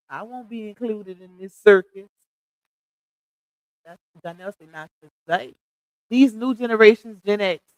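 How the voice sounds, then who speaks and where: A woman's voice: mid-range voice, clear, resonant tone, no echo, low-quality recording. A woman in her thirties chatting casually on a livestream, gossiping, laughing often and talking straight to her viewers. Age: 30s.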